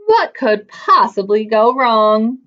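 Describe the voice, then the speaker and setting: Female children's storytime host, high animated voice, unhurried, slightly dull lo-fi recording. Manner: expressive, simple child-directed phrasing. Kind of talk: animated read-aloud storytime for preschoolers.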